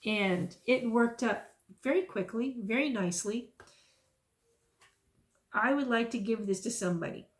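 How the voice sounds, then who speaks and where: A woman's voice: a little high, a little slow, long pauses. A woman, chatting casually and rambling into folksy, self-amused asides in her stitching vlog. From South Texas. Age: 50s. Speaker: stitching vlogger.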